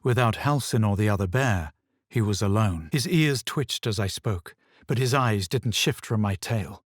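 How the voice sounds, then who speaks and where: A haughty male adventurer from a fantasy video game. His voice sits low and slightly raspy, with a clipped, dramatic air of superiority. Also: lively intonation, moderate pace, dry recording, studio quality. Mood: grave narration. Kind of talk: video game voice lines and narration.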